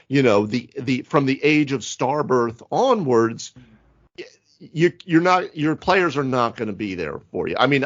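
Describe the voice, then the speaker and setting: Male podcast co-host, mid-pitched, emphatic explainer in casual discussion, slightly lo-fi sound. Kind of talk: casual panel discussion on tabletop role-playing. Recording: dull, band-limited audio.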